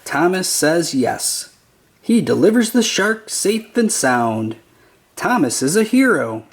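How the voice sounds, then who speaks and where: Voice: medium pitch, big pitch swings, takes it slowly. A narrator reading a children's picture book aloud in short, simple storytelling phrases.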